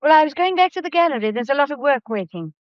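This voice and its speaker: An actress playing a loyal, anxious and stubbornly protective businesswoman in a radio mystery, earnest and brisk. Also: high voice, animated, no echo, dull audio. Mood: defiant, guarded. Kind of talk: radio drama acting.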